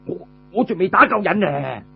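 A man's voice: high voice, expressive, normal speed, gravelly, lo-fi sound, slight background noise, full character voice. A man voicing an eager young male warrior in an audiobook tale, exclaiming and stammering as he pleads. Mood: protesting.

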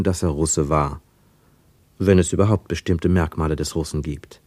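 A man narrating a radio play travel tale in a measured, gently ironic way. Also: lowish voice, dry recording. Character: wry third-person storyteller of a travel tale.

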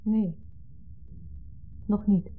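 An actress in a 1970s radio play voicing a composed, evasive wife with a Netherlands Dutch accent, who speaks in a measured, matter-of-fact way. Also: female voice, mid-range voice, fairly even intonation, breathy edge. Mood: firm, evasive. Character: composed, evasive woman between husband and former lover. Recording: muffled, band-limited 1970s radio recording.

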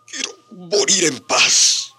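Dying soldier, slow and strained. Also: male voice, high-pitched, sing-song, sharp tone, full character voice. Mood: fearful, pleading. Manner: halting, labored speech with groans and whispers. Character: gravely wounded, dying Spanish conquistador soldier, tormented and repentant. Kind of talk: radio drama acting. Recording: radio drama with music behind.

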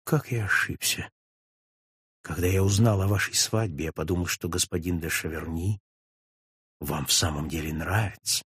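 A man voicing a suave gentleman friend who pleads tenderly with a touch of ironic melancholy, read for an audiobook. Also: medium pitch, expressive, moderate pace, dry recording, clean studio sound, close to an everyday voice. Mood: tender pleading. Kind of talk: audiobook reading of a classic novel.

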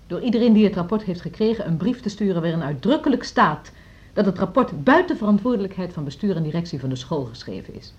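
An actress playing a measured, disapproving school board member in a radio drama, speaking formally and deliberately. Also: female voice, mid-range voice, expressive, a little mellow, husky. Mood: stern, persuasive. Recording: dull noisy radio play recording.

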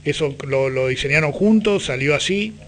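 Argentine male radio host, fairly high voice, conversational interviewing. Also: lively intonation, normal speed, a little hiss or hum.

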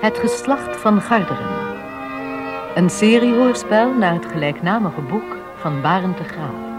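A woman's voice: medium pitch, lively intonation, a little slow, over loud music. A woman announcing a radio drama serial in a scripted, formal introduction.

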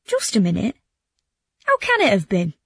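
A woman's voice: medium pitch, huge pitch swings, moderate pace, fairly bright tone, slightly rough, no room sound, studio quality, heavily acted. A woman voicing a cunning male trickster in a storybook, goading and mock-doubting. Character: sly, mocking male prankster, egging on his victim.

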